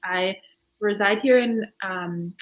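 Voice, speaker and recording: Young woman from Fiji, podcast guest, lively storyteller with lots of laughs. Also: medium pitch, takes it slowly, lo-fi sound. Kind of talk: casual interview chat.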